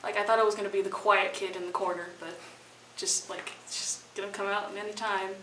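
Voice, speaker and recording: A woman's voice: medium pitch, level tone, fairly quick, bright, forceful tone, slight background noise. A teenage female theater student giving casual, heartfelt answers in an interview. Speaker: high school theater student. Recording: echoey room, distant mic.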